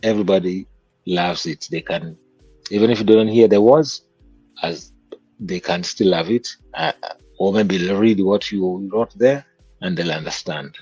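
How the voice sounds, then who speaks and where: A man's voice: deep voice, measured pace, darkish tone, husky, no echo, dull audio, soft background music. A man with a Kenyan accent, a guest musician telling stories from his childhood in an interview. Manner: reflective, storytelling. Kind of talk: interview conversation.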